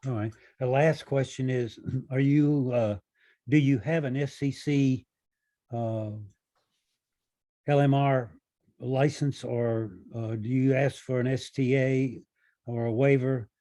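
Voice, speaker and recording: A man from the audience asking technical questions in a deliberate, halting manner. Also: mid-range voice, steady tone, mellow.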